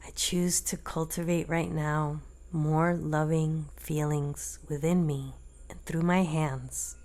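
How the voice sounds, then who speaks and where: A woman leading a guided meditation in a gentle, deliberate manner, leaving long pauses between short phrases. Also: deep voice, steady tone.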